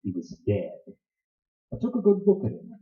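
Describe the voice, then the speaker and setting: An actor playing a hard-boiled private detective in old radio noir style, with slangy, laconic, wry delivery. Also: male voice, lowish voice, huge pitch swings, normal speed. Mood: world-weary. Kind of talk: 1940s radio noir narration and acting. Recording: muffled lo-fi sound in echoey room.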